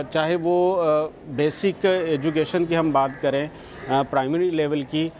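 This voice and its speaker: Middle-aged male expert interview, slightly high voice, hesitant with pauses, lapel mic outdoors, faint noise. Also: darkish tone. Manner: deliberate, with many filler pauses and English terms. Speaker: education official interviewed. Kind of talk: interview answers. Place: outdoors at a school building.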